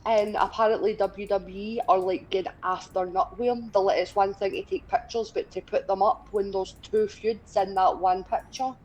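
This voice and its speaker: A Scottish woman in her twenties talks casually as a co-host on a gaming stream. She is blunt, opinionated and sweary, with a mid-pitched voice over light background noise. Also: steady tone, normal speed, hardly any pauses, darkish tone, rough recording. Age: 20s.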